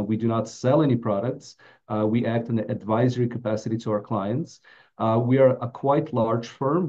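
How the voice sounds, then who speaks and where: A middle-aged man with a mellow voice, giving a prepared webinar presentation as a financial advisor. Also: medium pitch. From Latvia. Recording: dull, muffled webinar audio.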